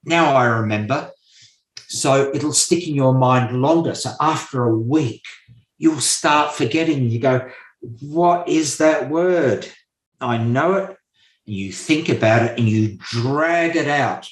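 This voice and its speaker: Male interview guest explaining study methods, slow and measured, stresses points by repeating them; echoey room sound. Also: mid-range voice. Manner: slow, deliberate, repeats key points with hesitant fillers. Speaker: guest expert on memory and mind maps. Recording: roomy echo.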